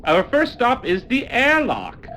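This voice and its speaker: Slow, sneering Martian villain. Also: male voice, very high voice, huge pitch swings, sharp tone, a little hiss or hum, exaggerated voice. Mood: false friendliness. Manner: mock-friendly, sneering, theatrical. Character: scheming villainous male Martian, sarcastic and murderous. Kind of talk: comic audio play acting.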